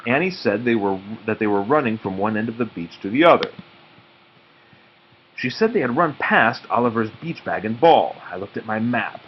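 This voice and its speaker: Animated man reading a children's storybook. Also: medium pitch, moderate pace. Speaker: read-aloud narrator. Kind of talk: expressive children's storybook narration. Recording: muffled audio with faint background noise.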